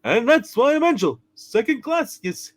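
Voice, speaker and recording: Fussy old guardian angel, extremely high and swooping, chirpy, theatrical radio-play ham. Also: male voice, sing-song, moderate pace, exaggerated voice. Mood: fussy, chiding. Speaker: channel co-host. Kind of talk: hammed-up radio play reading.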